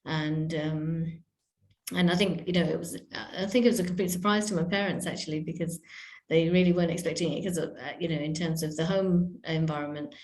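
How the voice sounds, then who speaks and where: A woman's voice: deep voice, fairly even intonation, brisk, darkish tone. Hesitant woman in an echoey room. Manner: hesitant, many fillers and restarts. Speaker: podcast guest. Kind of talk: reflective interview talk.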